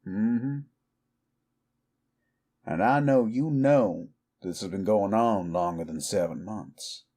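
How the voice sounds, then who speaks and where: Slow, low-voiced cowboy outlaw, acted by a man. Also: warm tone. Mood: cocky, mocking. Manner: slow, deliberate, goading, rhetorical questions. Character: cowboy outlaw werewolf, taunting, brooding, defiant. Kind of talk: intimate roleplay audio drama acting.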